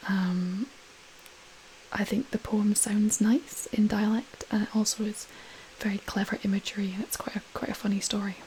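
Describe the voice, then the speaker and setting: A woman with a Shetland Scottish accent speaks softly and breathily in a gentle, restrained ASMR poetry-reading style. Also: medium pitch, normal speed, slight background noise, low-quality recording. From Shetland, Scotland. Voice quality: soft, whispery, breathy. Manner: gentle, restrained, relaxed delivery.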